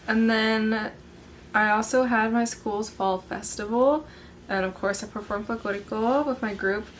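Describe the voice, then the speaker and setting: A young woman in her late teens hosting a casual podcast, hoarse from yelling, chatting in a bubbly way and filling nearly every sentence with "like". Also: a little high, fairly even intonation, measured pace, a little hiss or hum, poor audio. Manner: bubbly, filler-heavy, says "like" constantly. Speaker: podcast host. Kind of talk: casual chat.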